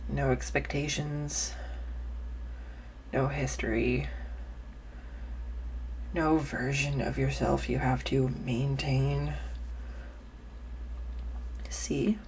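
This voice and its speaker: An awkward, kind-hearted young woman, acted by a woman in an intimate audio roleplay, talks in a low, slow voice. She hesitates, corrects herself and rambles earnestly, close to the mic. There is some faint noise behind her. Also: little pitch movement, darkish tone, full character voice, low-quality recording. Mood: nervous, joking. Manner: nervous rambling, halting, self-correcting, earnest. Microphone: close USB condenser microphone.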